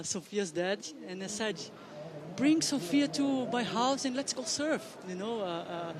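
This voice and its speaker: A woman with a Brazilian Portuguese accent, a former pro surfer commentating live, halting with many fillers and restarts. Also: medium pitch.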